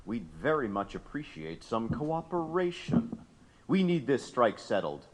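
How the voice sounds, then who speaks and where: A man's voice: medium pitch, very animated, a little slow. An actor playing a smug, imperious wealthy businessman in an audio drama, with a pompous, sardonic delivery. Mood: stern, impatient. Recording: long echoing reverb.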